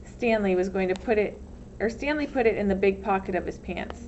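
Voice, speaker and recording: A woman's voice: medium pitch, brisk, a little mellow. A woman reading a storybook aloud as narrator, expressively, like a teacher. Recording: dull lo-fi sound, faint noise.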